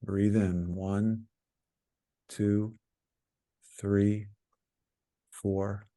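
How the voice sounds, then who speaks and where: A man with a low, mellow voice leads a guided meditation. He speaks very slowly and calmly, leaving frequent pauses between short phrases. The delivery is soothing and instructional, like a teacher settling listeners into stillness.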